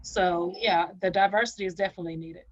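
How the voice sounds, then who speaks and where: A woman's voice: lowish voice, steady tone, fast talker. A woman giving an informal comment in a group discussion over a video call. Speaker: symposium participant. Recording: dull lo-fi video call audio.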